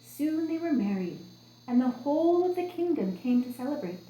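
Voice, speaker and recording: Animated woman storyteller in an echoing room. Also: high-pitched, moderate pace. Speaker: children's storyteller. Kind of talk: expressive storybook narration for children. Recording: roomy, echoing room sound.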